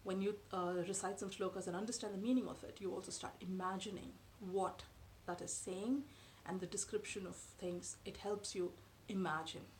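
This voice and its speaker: A woman in her thirties whose first language is Tamil, talking to camera from a sofa in her living room in a measured, thoughtful way with frequent pauses. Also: mid-range voice, fairly even intonation, a little hiss or hum, low-quality recording. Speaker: vlogger. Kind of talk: explanatory talk to camera. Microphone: camera in front at close range.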